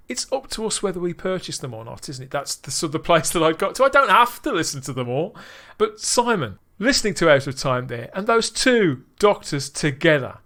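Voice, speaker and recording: Man, podcast host, slightly high voice, lively questioning. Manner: animated, asks the co-hosts questions. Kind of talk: casual podcast discussion.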